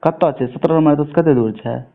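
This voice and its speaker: A man's voice: a little high, brisk, gravelly. A man with a Bihari accent from Mithila in an informal phone conversation, swearing often in a coarse manner. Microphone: telephone. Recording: tinny narrowband phone call recording.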